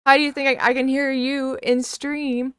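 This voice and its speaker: A woman streamer bantering casually with friends, defensive and quick to swear. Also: high voice, little pitch movement, a bit bright, clear, resonant tone, no room sound. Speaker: game streamer.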